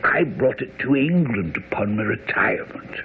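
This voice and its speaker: An actor playing a dying, guilt-ridden old army major in a radio drama, weak and short of breath. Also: male voice, mid-range voice, animated, moderate pace, natural voice. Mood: weak, confessing. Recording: narrow, noisy old radio broadcast.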